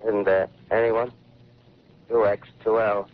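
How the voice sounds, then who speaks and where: An actor playing a lone, desperate radio operator calling out flatly and deliberately in a 1930s radio drama. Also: male voice, lowish voice. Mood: bleak, searching. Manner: flat, slow, deliberate. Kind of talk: 1930s radio acting, repeated radio call. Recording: muffled, noisy 1938 radio broadcast.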